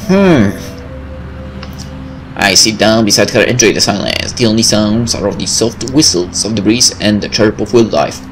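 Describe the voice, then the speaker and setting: A man hosts a let's play with a lively, swinging pitch. He reads the story aloud and drops in informal, joking asides and swearing. Faint music plays behind him. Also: moderate pace, poor audio. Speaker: gameplay host reading a visual novel aloud. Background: game music.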